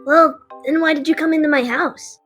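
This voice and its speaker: A woman's voice: high-pitched, fairly even intonation, normal speed, fairly bright tone, soft background music. A woman voicing a childish 200-year-old young dragon with a baby voice, cheerful about eating her guests, in a fan audio drama. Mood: playful.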